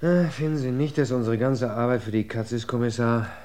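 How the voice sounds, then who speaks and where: A man's voice: mid-range voice, steady tone, brisk, a little mellow, a little hiss or hum, lightly acted. A man playing a quippy young police assistant. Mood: eager. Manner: joking, eager, inquisitive. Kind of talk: 1950s radio play acting.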